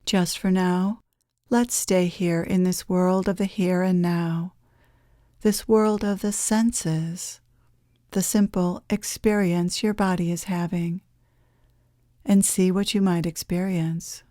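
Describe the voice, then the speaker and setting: A woman leads a guided meditation in a soft-edged, mellow voice. She speaks very slowly and gently, leaving long, soothing pauses. The sound is dry, with very little room around it. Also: lowish voice, level tone, dry recording. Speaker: guided meditation leader, channeler.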